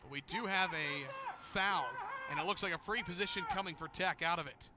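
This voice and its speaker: High-pitched American man calling a game. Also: moderate pace. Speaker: play-by-play announcer. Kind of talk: live sports commentary. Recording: clear outdoor stadium noise behind. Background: outdoor ambience of a game.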